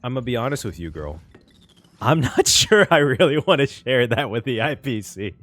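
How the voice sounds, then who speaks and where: Very animated man reacting casually. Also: medium pitch, normal speed, dry recording. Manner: animated, expressive. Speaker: streamer reacting to a game. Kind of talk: casual live reaction commentary.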